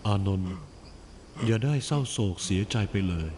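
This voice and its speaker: A deep, serene Buddha. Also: male voice, expressive, creaky, a little hiss or hum. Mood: gently consoling. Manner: solemn, measured, instructing gently. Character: the Buddha, calm, wise male teacher near death. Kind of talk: scripted animated film dialogue.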